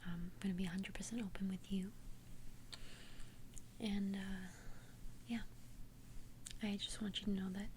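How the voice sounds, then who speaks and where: Casting: woman playing immortal young female vampire, tender and hesitant, unhurried whispered ASMR confession, slight hiss. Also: mid-range voice, flat delivery, darkish tone, lightly acted. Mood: earnest, nervous. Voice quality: breathy unvoiced whisper. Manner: hesitant, halting, earnest confession. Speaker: ASMR roleplay performer.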